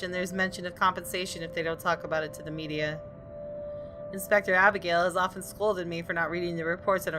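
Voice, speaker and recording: A woman reading a visual novel's story aloud as expressive scripted narration for a gaming video. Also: on the low side. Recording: music playing behind.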